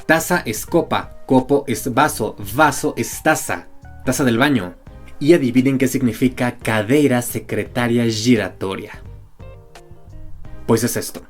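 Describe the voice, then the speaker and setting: A man with a slightly mixed accent narrates a scripted language lesson at an ordinary, middling pitch. He steps through example words one by one in an explanatory way. Quiet music sits faintly behind his voice. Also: a little slow. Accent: mix of Portuguese, Brazilian and Mexican influences. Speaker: language video host.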